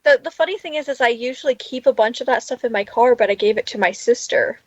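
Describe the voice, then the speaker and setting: A woman's voice: fairly high pitch, quick pace, a little mellow, dry recording. A woman chatting casually as a guest, informal and a little wry, on slightly lo-fi audio. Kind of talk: casual chat.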